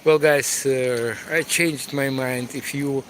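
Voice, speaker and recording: A man with a Ukrainian accent, a tour guide live-streaming as he walks city streets, talking haltingly with many fillers. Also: medium pitch, steady tone, fairly bright tone. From eastern Ukraine. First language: Russian. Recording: outdoor street noise.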